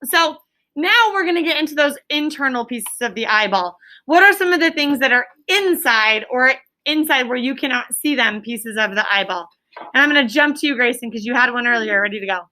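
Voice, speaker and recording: A woman's voice: high-pitched, expressive, brisk, bright, forceful tone. A woman elementary school teacher leading an interactive lesson, asking questions and repeating answers with emphasis in an instructive way.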